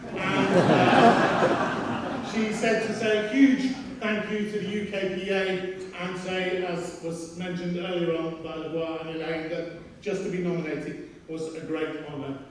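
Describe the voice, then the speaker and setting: A man's voice: high-pitched, steady tone, a little slow. A man giving a formal acceptance speech with dry humour from a theatre stage. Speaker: artistic director accepting an award. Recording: echoey noisy hall from far off.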